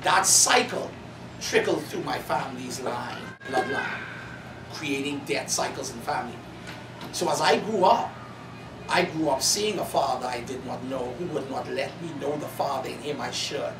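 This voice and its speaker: A man in his thirties from the Bahamas, an author and pastor telling his life story in earnest, building to animated emphasis at a cafe event. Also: mid-range voice, normal speed. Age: 30s. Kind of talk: personal testimony at a bookstore event. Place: coffee cafe in a shopping mall. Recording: roomy, distant, noisy cafe recording.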